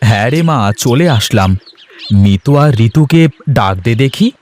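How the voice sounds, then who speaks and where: A man's voice: medium pitch, animated, moderate pace, a little mellow, lightly acted. A man voicing an agreeable middle-aged village father in a cartoon, with a genial, deferential manner. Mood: affectionate. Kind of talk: animated moral-story cartoon dialogue.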